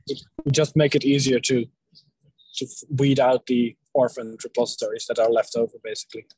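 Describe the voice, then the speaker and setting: A man with a husky, mid-pitched voice talks casually through technical matters in an online meeting. His intonation stays quite flat, and the sound is dry. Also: moderate pace, no room sound, rough recording. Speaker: meeting participant. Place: online group call.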